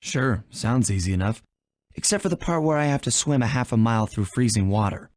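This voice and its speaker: A cool young raccoon thief voiced by a man for a video game. He has a medium-pitched voice and speaks with easygoing, wry confidence. The recording is very dry. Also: expressive, a little fast, a little mellow, dry recording, close to an everyday voice. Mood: wry, confident. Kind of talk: video game character voice acting.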